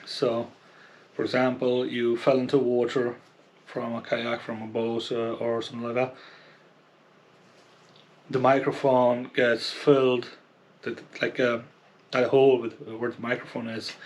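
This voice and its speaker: A man giving a casual, unscripted product review as a presenter, explaining haltingly and often checking in with a questioning okay. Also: medium pitch, flat delivery, a little mellow, muffled recording. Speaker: fishing channel presenter reviewing gear.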